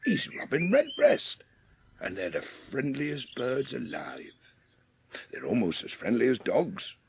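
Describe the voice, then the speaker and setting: An actor playing a gruff, lonely, sour old Yorkshire gardener in a dramatised audiobook, speaking blunt rustic dialect. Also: male voice, mid-range voice, huge pitch swings, takes it slowly, noisy background, full character voice. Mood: fond, wistful.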